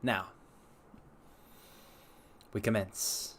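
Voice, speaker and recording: A man's voice: lowish voice, fairly even intonation, drawn-out words, fairly bright tone, slight background noise. A man hosting a livestream and reading aloud in a theatrical manner, with formal, old-fashioned phrasing. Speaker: livestream host and story reader. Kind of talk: livestream chat and dramatic reading.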